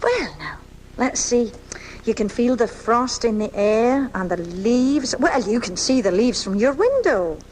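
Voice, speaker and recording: A woman's voice: on the high side, very animated, measured pace, a little mellow, a little hiss or hum, rough recording. A woman playing a kind, encouraging young schoolteacher in a 1980s live radio drama, recorded off the air at home. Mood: gently reassuring.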